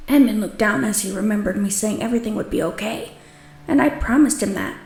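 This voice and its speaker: A woman's voice: mid-range voice, brisk, darkish tone. A woman reading scripted story narration for a manga dub. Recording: roomy echo, music behind.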